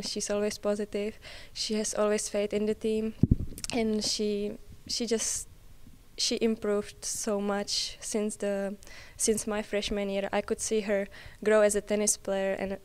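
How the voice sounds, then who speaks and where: College athlete interviewee, female, early twenties, Czech accent, smooth clean voice, level intonation. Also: medium pitch, monotone, unhurried, darkish tone. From Czech Republic. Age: early 20s. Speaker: college tennis player being interviewed. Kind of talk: interview answers.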